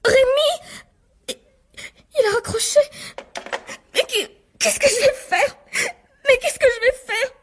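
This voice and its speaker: A terrified heroine in a radio mystery. Her voice is extremely high and tearful. She speaks slowly, stammering and catching on sobs as fear overwhelms her. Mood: panicked, tearful. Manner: halting, stammering, breaking into sobs. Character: frightened, tearful young actress alone at night. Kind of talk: French radio mystery drama acting.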